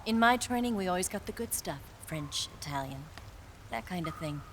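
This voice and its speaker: An actress playing a cool, confident young female spy in a video game, teasing and smooth. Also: fairly low pitch, lively intonation, moderate pace, lightly acted. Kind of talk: video game cutscene acting. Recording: noisy ambient background.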